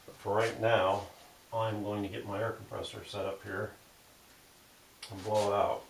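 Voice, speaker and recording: A man's voice: lowish voice, flat delivery, normal speed, vocal fry, a little hiss or hum, poor audio. A man giving casual, step-by-step narration as he works, muttering his thoughts aloud in a workshop. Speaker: vintage radio restorer hosting a workshop video. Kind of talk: casual hands-on narration while working. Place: home workshop.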